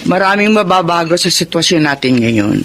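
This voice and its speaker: A woman's voice: on the low side, animated, normal speed, fairly bright tone. An actress playing an earnest, resolute middle-aged mother in a Tagalog radio drama, with a Filipino accent. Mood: firm, serious. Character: earnest, resolute struggling mother of grown children. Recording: background hiss and noise.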